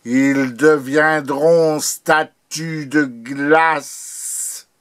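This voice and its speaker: A man reciting his own poem in a solemn, declamatory manner, in short phrases with long pauses. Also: on the high side, fairly even intonation. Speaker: poet reading own poem. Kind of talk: dramatic poetry recitation over music. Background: music.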